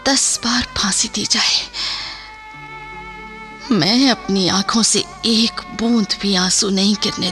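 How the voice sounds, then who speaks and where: An actress playing the proud, steadfast wife of a condemned soldier in a radio drama. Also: female voice, medium pitch.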